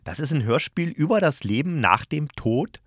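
A man's voice: a little high, big pitch swings, moderate pace, a bit bright, no echo, clean studio sound. A man hosting a review show, speaking casually and chattily to listeners, thinking aloud and correcting himself as he goes. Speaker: host of an audio drama review show.

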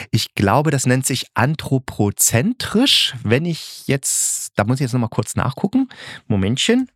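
A man's voice: medium pitch, no room sound, studio quality. A man giving a casual, unscripted review as a host, musing and arguing colloquially while he thinks aloud. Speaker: audio drama reviewer and host.

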